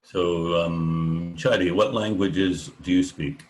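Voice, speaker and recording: A male professor teaching an online class, asking students questions and explaining slowly, often pausing with "um" as he thinks aloud. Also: low voice, fairly even intonation. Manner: unhurried, thinking aloud with many ums.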